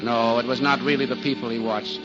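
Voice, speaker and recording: A man narrating a 1940s radio drama in a formal, measured storytelling manner. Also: mid-range voice, steady tone. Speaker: narrator and announcer. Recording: dull old radio recording. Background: music.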